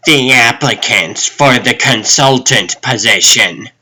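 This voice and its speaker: A man's voice: medium pitch, slow pace, pushed and bright, exaggerated voice, poor audio. A man voicing a dutiful but exasperated assistant in a comic dub, speaking pointedly.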